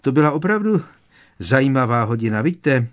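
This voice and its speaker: A man voicing a frightened teenage schoolboy who babbles in an unnaturally high voice. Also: sing-song, lightly acted. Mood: shaken babbling.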